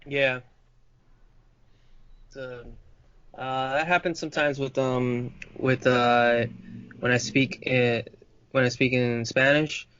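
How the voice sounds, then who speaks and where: A man from Uruguay chatting on a podcast, earnest and halting with many fillers. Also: mid-range voice, flat delivery, a bit bright, clear, resonant tone. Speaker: podcast panelist. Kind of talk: casual chat. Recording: dull, dry lo-fi mic.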